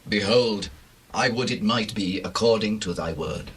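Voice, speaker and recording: An actor playing an older, courteous, bargaining herd owner in a dramatized Bible reading, with measured archaic phrasing. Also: male voice, fairly low pitch, a bit bright, husky.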